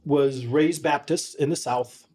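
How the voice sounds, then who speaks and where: A middle-aged man, a podcast guest, telling personal stories in an earnest, measured way with frequent restarts. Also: on the high side, darkish tone, husky. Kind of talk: reflective conversational storytelling.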